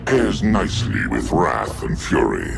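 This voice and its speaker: Super deep growly demon scythe from a game, bloodthirsty and mocking, music behind. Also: male voice, sing-song, unhurried, creaky, heavily acted. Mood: sneering. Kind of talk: game voice lines.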